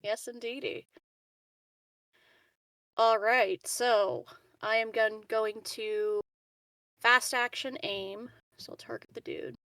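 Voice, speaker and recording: A woman speaks at an unhurried, slow pace as a player in a tabletop game. Her delivery is casual table chat, recorded dry with no room sound. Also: a little high, fairly bright tone, no echo.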